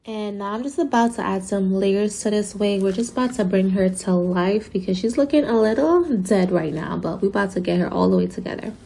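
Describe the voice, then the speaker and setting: A young woman with a raspy, tired late-night voice, gushing casually through a wig review voiceover. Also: medium pitch, dark tone, echoey room. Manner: chatty, gushing, says "you guys" often. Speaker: beauty vlogger. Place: home at night, sirens outside.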